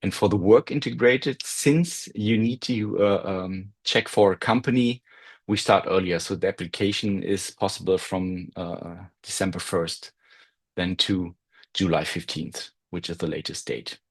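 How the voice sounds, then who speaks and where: A man's voice: low-pitched, a little slow, husky. A man presenting university admission steps over a video call, explaining carefully and checking in with listeners. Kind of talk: online info session presentation.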